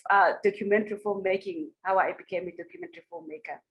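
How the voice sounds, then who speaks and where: South African woman, reflective and halting. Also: medium pitch, steady tone, highs cut off. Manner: reflective, with many pauses and fillers. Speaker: documentary film director. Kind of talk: online festival Q&A.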